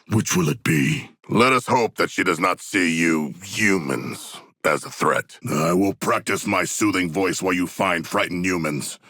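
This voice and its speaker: An actor playing a huge, earnest, bloodthirsty humanoid shark demigod in a video game, with a deep gravelly voice and grandiose, formal diction. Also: male voice, takes it slowly, a bit bright, no echo, studio quality, exaggerated voice. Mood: earnest, measured. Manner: grandiose, formal, literal-minded, stately diction. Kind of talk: video game character voice lines.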